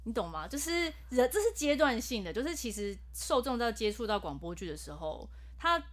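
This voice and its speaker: A woman voice actor talks earnestly in a casual podcast chat. Her pitch rises and falls freely as she explains her view. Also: big pitch swings, a little fast. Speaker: voice actor guest.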